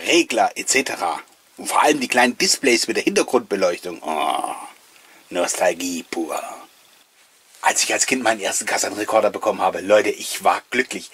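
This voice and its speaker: A man's voice: medium pitch, fairly bright tone, breathy edge, dry recording. A middle-aged man giving a casual, nostalgic voice-over commentary, reminiscing with wistful enthusiasm as the host. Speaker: host of a collectors' video channel.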